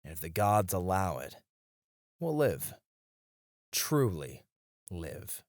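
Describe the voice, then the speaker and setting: A low-voiced, battle-weary knight acted by a man speaks slowly and intimately, as in a romantic audio roleplay. He sounds tender, wistful and devoted, with hesitant phrasing, in a close, dry recording. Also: very animated, dry recording, heavily acted. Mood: tender, musing. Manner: soft, intimate, hesitant with small chuckles.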